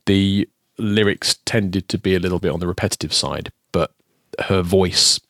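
A man's voice: low voice, normal speed, fairly bright tone, no room sound. A man in his forties co-hosting a podcast, chatting casually with hesitant ums and dry jokes. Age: 40s. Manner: rambling, hesitant with many ums, dry joking. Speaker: podcast co-host. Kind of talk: casual chat.

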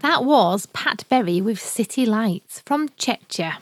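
A woman's voice: on the high side, lively intonation, slow, soft background music. Woman back-announcing tracks on a podcast. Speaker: podcast co-host.

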